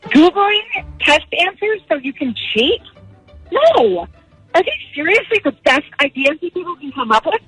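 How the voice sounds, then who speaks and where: A woman's voice: high voice, very animated, moderate pace, fairly bright tone, dry recording, soft background music. A woman in her thirties chatting casually as a parent on a phone call, with a questioning manner. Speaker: parent receiving a prank call.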